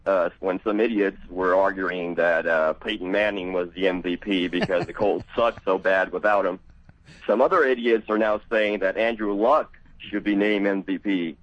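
A man's voice: low-pitched, fairly even intonation, moderate pace, no room sound. A man from Brazil calling in to a radio show, blunt and opinionated. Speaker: caller. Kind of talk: phone-in call. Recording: dry, dull phone-line audio.

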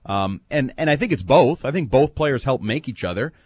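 A man co-hosts a sports talk radio show, talking quickly with a lively, animated pitch. He gives opinionated, emphatic takes in long stretches. The studio sound is dry and close. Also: breathy edge, no echo.

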